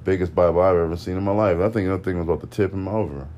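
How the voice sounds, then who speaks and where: Very deep-voiced laid-back man. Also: steady tone, racing, highs cut off. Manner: laid-back, terse, under-explaining. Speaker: podcast co-host. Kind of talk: casual chat.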